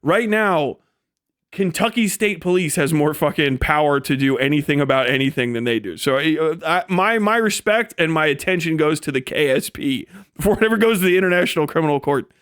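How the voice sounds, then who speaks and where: A man livestreaming an opinionated, off-the-cuff rant, blunt, sweary and sardonic, acting out imagined exchanges in an animated way. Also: fairly high pitch, fairly quick, smooth, no room sound, clean studio sound. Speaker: livestream host.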